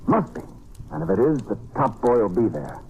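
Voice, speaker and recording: An actor playing a shrewd American investigator in an old-time radio detective drama, speaking in a clipped, decisive, matter-of-fact way. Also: male voice, on the low side, big pitch swings, a little fast, darkish tone, muffled recording, slight background noise. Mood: shrewd, intent. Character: hard-boiled American male investigator, shrewd and decisive, narrating his case. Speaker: lead actor and narrator.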